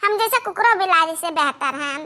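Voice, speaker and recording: Shrill, whining cartoon daughter-in-law. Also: lively intonation, brisk, bright, forceful tone, heavily acted. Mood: pleading, whining. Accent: Awadhi. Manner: pleading, whining. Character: put-upon, hungry, whining downtrodden daughter-in-law. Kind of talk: exaggerated cartoon comedy acting.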